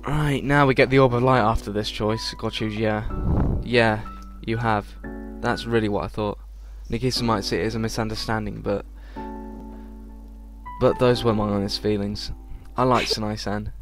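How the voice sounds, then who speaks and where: Male let's play host: low voice, halting script reading, game music underneath. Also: fairly even intonation.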